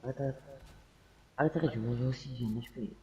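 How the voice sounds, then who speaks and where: A man's voice: mid-range voice, steady tone, quick pace, darkish tone, poor audio. A young man playing a video game with his friend, answering with short, blunt, dismissive retorts. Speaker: gaming partner. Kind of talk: casual gameplay banter.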